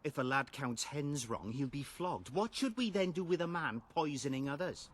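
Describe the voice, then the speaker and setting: An actor playing a resentful, plain-spoken peasant man in a video game, with rustic, rough speech. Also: on the high side, expressive, normal speed, slight background noise, lightly acted. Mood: grumbling. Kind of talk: video game dialogue acting.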